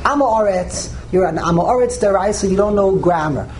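High-pitched man teaching a Torah class. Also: normal speed, darkish tone, slight background noise. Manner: repetitive, emphatic, restarts phrases.

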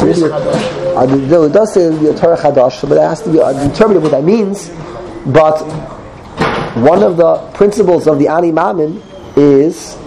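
Man teacher with a cold, mellow voice, slightly raised pitch, casual digressive class, faint room hiss. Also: gravelly, low-quality recording. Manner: digressive, restarts phrases, mixes in Hebrew terms. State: has a cold.

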